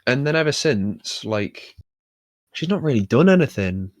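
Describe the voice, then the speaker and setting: A man's voice: mid-range voice, very animated, unhurried, darkish tone, dry recording, studio quality. A man co-hosting a podcast and chatting casually, rambling with many fillers and restarts. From United Kingdom. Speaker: podcast co-host. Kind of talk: casual chat.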